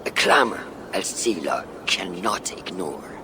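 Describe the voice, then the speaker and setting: Game role: a calm, calculating male conspirator. Gravelly bass, swooping intonation, deliberate formal phrasing, background ambience. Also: background noise, full character voice. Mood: conspiratorial. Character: calm, secretive male conspirator-ally, measured and shrewd. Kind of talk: video game voice acting.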